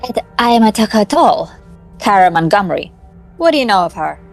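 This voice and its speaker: A woman's voice: medium pitch, big pitch swings, fairly quick, faint music behind. Woman acting as a sardonic, nervy hacker. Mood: intense, sardonic. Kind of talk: amateur visual novel dub.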